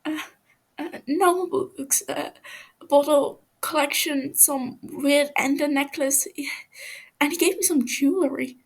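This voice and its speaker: A woman acting a high-pitched, terrified stammering captive man. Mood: nervous. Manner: stammering, halting, gasping.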